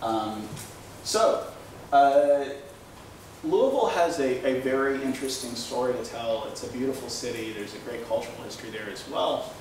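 Middle-aged american guy doing a conference talk, kinda halting, really echoey room, sounds far from mic. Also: mid-range voice. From United States. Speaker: keynote speaker. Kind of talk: conference presentation with anecdotes. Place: indoor conference room at a lectern. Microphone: handheld microphone. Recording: echoey room, distant pickup, faint noise.

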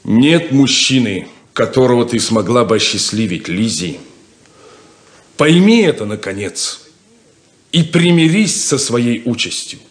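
An actor playing a stern, blunt, domineering older brother in a 1970s Soviet radio play. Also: male voice, medium pitch, very animated, echoey room. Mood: cold, solemn. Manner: domineering, deliberate, lecturing.

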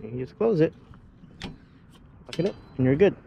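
Man, relaxed rambling vlog talk, higher voice, outdoors, faint background noise. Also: a little slow, vocal fry. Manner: relaxed, rambling, with many fillers and restarts. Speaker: vehicle builder showing his truck. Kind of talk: casual walkaround vlog. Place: outdoors beside a parked vehicle.

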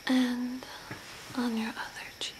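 A young woman whispering breathily and softly in a soothing ASMR role play, close to the mic as if giving personal attention. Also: a little high, level tone, slow. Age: young adult. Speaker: ASMR role-play performer, makeup artist. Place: small room at home, by a window. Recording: noisy home recording with ambient hiss.

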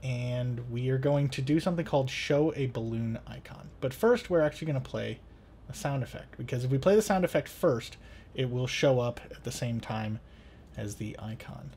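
A man in his thirties with a mid-pitched voice presents a tutorial. He explains things step by step in a casual, conversational way, as a host talking viewers through what he is doing. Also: moderate pace, darkish tone, a little hiss or hum.

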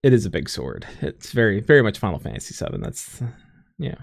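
A man's voice: lowish voice, wildly swooping pitch, fairly quick, gravelly, no echo, studio quality. A man in his thirties co-hosting a casual gaming stream, rambling with lots of fillers, laughing and swearing as he goes. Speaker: podcast co-host. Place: home room with green screen.

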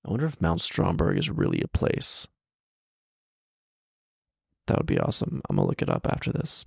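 A man's voice: low-pitched, huge pitch swings, normal speed, vocal fry, darkish tone, husky, no echo. A man in his thirties reading a fairy tale aloud and breaking off for casual, incredulous, bantering commentary, speaking close into a handheld microphone. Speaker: storyteller and host. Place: small living room, indoors. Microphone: handheld microphone held close.

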